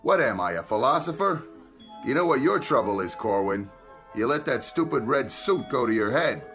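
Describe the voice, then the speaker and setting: An actor playing a gruff, threatening bartender in an audio drama. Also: male voice, mid-range voice, big pitch swings, normal speed, music in the background. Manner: gruff, bullying.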